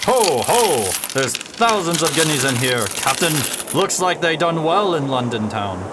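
An actor playing a rowdy, bloodthirsty pirate mate with rough sailor talk in an audio drama. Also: male voice, big pitch swings, unhurried. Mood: gleeful. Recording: very noisy audio with heavy rumble.

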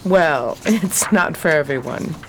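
A woman acts a polite, adventurous widow in a 1920s-set radio play. Her voice sits fairly low and moves expressively, with slight noise behind it. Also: animated, takes it slowly, a bit bright, exaggerated voice, rough recording. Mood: polite, sociable.

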